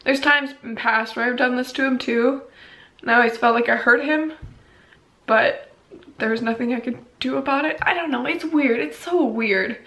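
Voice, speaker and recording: Hoarse candid young woman vlogging. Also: high-pitched, moderate pace, a bit bright. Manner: rambling, candid, self-deprecating. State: hoarse from talking. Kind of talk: casual diary vlog.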